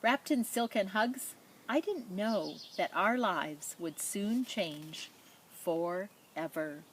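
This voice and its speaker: A woman reading a children's picture book aloud in a measured, expressive storytelling manner, with spoken asides. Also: medium pitch, slight background noise. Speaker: storybook reader.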